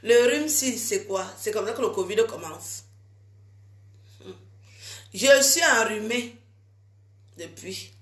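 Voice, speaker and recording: A woman's voice: on the high side, expressive, takes it slowly, long pauses, fairly bright tone. Teasing, chatty woman in an echoey room. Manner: chatty, teasing and scolding her viewers. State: has a cold. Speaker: vlogger. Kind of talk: casual chat to fans.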